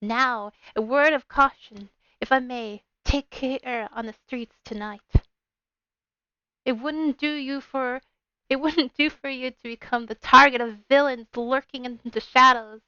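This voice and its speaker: A woman's voice: high voice, steady tone, unhurried, sharp tone, clear, resonant tone, dry recording, muffled recording. A woman with a bubbly, playful manner, talking as a game streamer on a livestream. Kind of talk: casual livestream chat with read-aloud game narration. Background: game music.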